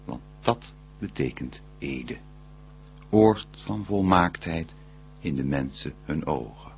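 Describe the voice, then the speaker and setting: An actor playing a grave, admiring archangel who declaims verse solemnly and deliberately in a radio play. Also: male voice, a bass voice, expressive, slight background noise, exaggerated voice. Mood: awed, solemn.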